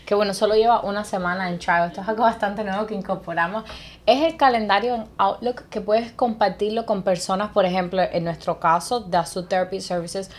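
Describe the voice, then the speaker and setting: A woman's voice: medium pitch, fairly even intonation, fairly quick. A woman co-hosting a podcast in a casual chat, playful and teasing, who chimes in with short remarks. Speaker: podcast co-host.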